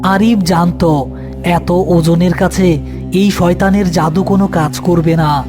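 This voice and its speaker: A male narrator tells a scripted horror story. His voice is high for a man, with faint music running behind it.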